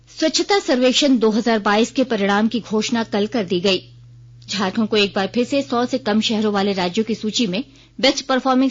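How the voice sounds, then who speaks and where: A woman with an Indian accent reading a formal, scripted news bulletin as a radio newsreader. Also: mid-range voice. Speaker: news reader. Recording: dull, band-limited radio broadcast sound.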